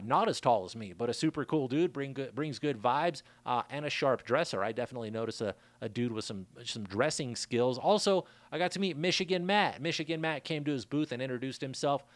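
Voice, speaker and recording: A man hosting his podcast in a loose, rambling monologue, his voice raspy from a worn throat. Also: medium pitch, dry recording. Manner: laid-back, loose, chatty with shout-outs. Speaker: podcast host. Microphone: studio mic.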